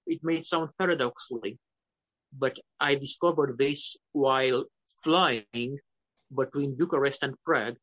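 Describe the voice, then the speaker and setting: Slow halting Romanian-accented man. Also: medium pitch, a bit bright, dry recording, poor audio. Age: 50s. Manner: slow, halting, searching for words. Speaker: poet guest. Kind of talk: interview answers.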